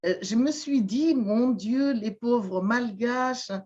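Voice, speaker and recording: Slow-spoken woman from Madagascar. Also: on the high side, a little mellow, muffled recording. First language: Malagasy. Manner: slow, halting, many fillers and repeated words. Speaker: guest, shamanic therapist. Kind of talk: interview answers.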